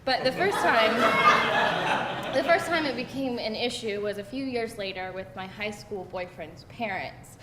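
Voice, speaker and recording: Actress as sarcastic young woman, conversational comedy-drama read, slightly high voice, distant mic, faint background noise. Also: unhurried, reverberant, crowd noise, natural voice. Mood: candid, animated. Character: candid, wry, sarcastic young woman recounting her teens. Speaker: actor at a screenplay table read. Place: live table read in a room.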